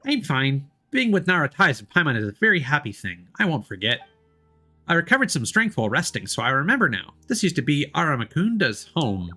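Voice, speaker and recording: A man's voice: fairly high pitch, sing-song, fairly quick, sharp tone, pitched above the performer's own voice, full character voice. A man voicing a small, earnest, childlike forest spirit from a video game, speaking simply and sincerely. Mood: contented.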